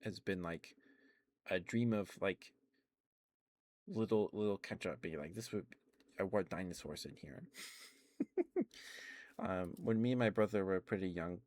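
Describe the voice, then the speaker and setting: Very deep-voiced man rambling softly. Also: a little slow, pauses often, no room sound. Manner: meandering, many fillers and restarts, chuckles often. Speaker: ASMR streamer. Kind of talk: soft-spoken ASMR rambling.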